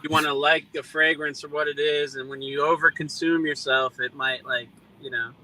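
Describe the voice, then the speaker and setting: A man from the United States, easygoing and relaxed, chats on a live stream. His voice is slightly high, with a very bright, forceful, sharp edge. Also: moderate pace, low-quality recording. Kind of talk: casual chat.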